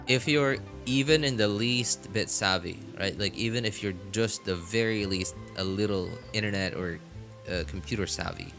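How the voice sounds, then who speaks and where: A man chatting casually as a game streamer, rambling and thinking aloud to his viewers. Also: on the low side. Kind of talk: casual rambling livestream chat.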